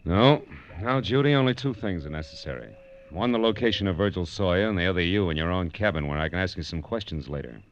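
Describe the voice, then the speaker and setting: A hard-boiled American private eye with a low voice and a rapid clip. He is dry, slangy and sardonic, in classic 1940s radio detective style, on a dull vintage recording. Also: lively intonation, a little hiss or hum. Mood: cool, probing. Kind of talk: 1940s radio detective drama acting with first-person narration.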